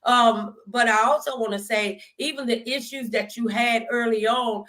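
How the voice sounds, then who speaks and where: A woman hosting a casual talk show, speaking in an expressive, folksy, emphatic way. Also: fairly high pitch, normal speed. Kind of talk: casual motivational interview chat.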